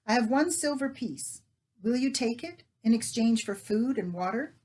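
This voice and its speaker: A woman's voice: a little high, lively intonation, normal speed, a little mellow, voice pushed up. A woman voicing an earnest, polite young boy on the run.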